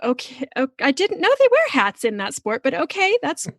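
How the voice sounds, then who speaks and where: A woman hosting a book podcast, talking in an expressive, emphatic way with drawn-out words. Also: high-pitched, no room sound, studio quality. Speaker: podcast host and interviewer. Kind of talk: podcast intro and book chat.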